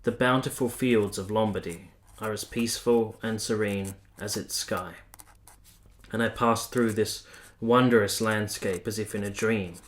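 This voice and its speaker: A man playing a romantic, reflective army captain in a radio play, speaking in a measured, sincere, literary way. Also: on the low side, steady tone, lightly acted. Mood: reflective, poetic. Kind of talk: radio play acting, literary first-person narration.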